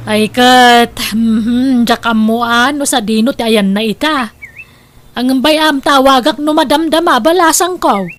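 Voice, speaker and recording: A woman's voice: fairly high pitch, slow pace, a bit bright. A woman with an Ilocano accent playing a worried, reproachful mother in an audio drama, fretful and admonishing. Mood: gentle, concerned.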